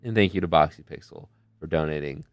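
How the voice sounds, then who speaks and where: Deep-voiced male streamer, rambling. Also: fast, no room sound. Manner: rambling, loses his train of thought. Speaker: streamer hosting a charity stream. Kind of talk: casual live-stream chat. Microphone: desk mic close to a face camera.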